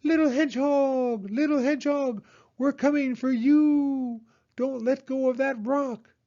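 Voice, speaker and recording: A man's voice: extremely high pitch, fairly even intonation, a little slow, warm tone, highs cut off, voice pushed up. A man voicing a fussy, alarmist male owl in a children's storybook, with a dramatic, exclaiming manner. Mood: alarmed.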